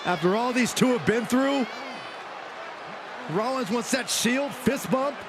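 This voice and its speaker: A man commentating on a wrestling match in an animated sportscasting style. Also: very high voice, a little slow. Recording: very noisy arena crowd. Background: roaring crowd, slams.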